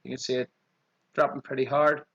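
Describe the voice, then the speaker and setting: A man with a strong Irish accent walking through trading charts quickly, often saying "okay" as he goes. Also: mid-range voice, little pitch movement, gravelly, fairly bright tone, highs cut off. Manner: quick, repeats 'okay' as a filler. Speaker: trading channel host. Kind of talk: screen-share chart walkthrough.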